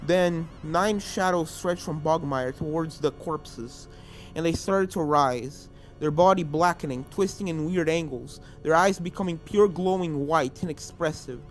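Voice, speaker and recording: A fan-fiction reader narrates an adventure horror story with drama. The voice is mid-pitched and sits over video game music. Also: a little slow.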